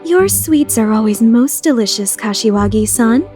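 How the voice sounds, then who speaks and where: A woman's voice: on the high side, expressive, moderate pace, mellow, lightly acted. A woman playing a proud teenage rich girl in an anime fandub radio play, with formal, composed speech that breaks into gushing outbursts. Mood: polite. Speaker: voice actor. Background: music.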